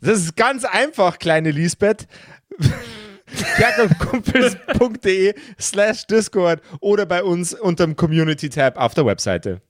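A man's voice: high voice, sing-song, takes it slowly, dry recording. A man hosting and narrating an improvised tabletop role-playing audio show as game master. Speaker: game master and podcast host.

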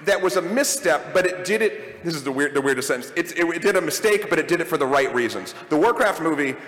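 A man with a slightly high voice rambles through a jokey live panel, stopping to correct himself mid-sentence. He is recorded in a convention hall, the sound roomy, with a long reverb trailing behind his words. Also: animated, fast talker, fairly bright tone. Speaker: podcast host on a live panel. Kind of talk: casual panel talk before a live audience.

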